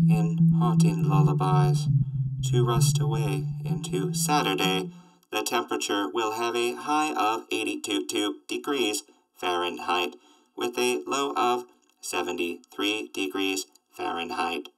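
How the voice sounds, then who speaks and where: A man voicing a gloomy, glitch-prone robot weather announcer with a flat, mechanical, formal delivery in a radio drama. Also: on the low side, rough recording. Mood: monotone, curt.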